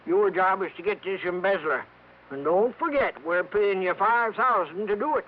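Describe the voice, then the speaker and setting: Guy acting a high voiced american oil millionaire, folksy blowhard, old muffled radio show. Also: moderate pace, natural voice. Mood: breezy, stubborn. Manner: folksy, ungrammatical, blustering. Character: folksy, brash rich oilman, gleefully shady business owner. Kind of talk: 1950s radio drama acting. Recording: dull vintage radio recording with music.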